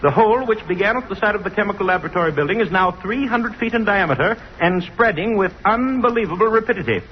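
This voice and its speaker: An agitated professor in vintage radio drama. His high voice rushes along with formal urgency. The old recording sounds dull and faintly noisy. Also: animated. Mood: grave, urgent. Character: anxious, excitable male professor.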